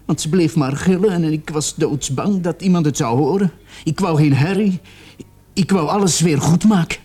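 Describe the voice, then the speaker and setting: An actor playing a distraught young man in a radio play, confessing haltingly and repeating his words in agitation. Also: a little high, dark tone, slightly rough. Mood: agitated, panicky.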